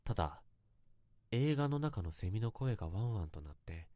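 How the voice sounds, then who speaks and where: Radio drama lead: awkward, earnest young man, polite confessional monologue, animated intonation, dry studio sound. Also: fairly low pitch, moderate pace, no echo. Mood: hesitant, tense. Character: self-conscious young man confessing an awkward romantic memory.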